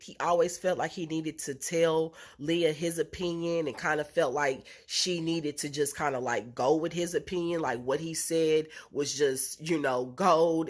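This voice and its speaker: A young woman with a congested, nasal voice from a cold, recapping a reality show in a chatty way with lots of ums and blunt slang. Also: low-pitched. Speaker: reality TV reviewer. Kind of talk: casual recap and opinion vlog.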